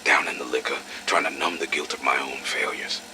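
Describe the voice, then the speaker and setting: An actor playing a blunt but sympathetic, weathered army major in an audio drama. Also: male voice, a bass voice, fairly even intonation, brisk, heavy background noise. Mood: earnest, sympathetic.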